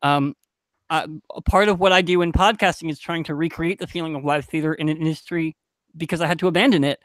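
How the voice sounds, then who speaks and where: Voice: mid-range voice, steady tone, quick pace, fairly bright tone, no room sound. A young adult podcast panelist speaking casually, frankly and emphatically in a group discussion.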